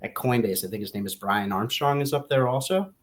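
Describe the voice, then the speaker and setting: A man speaks as a podcast co-host in a casual book discussion. His delivery is informal and a little halting, and he often circles back to rephrase what he just said. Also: mid-range voice.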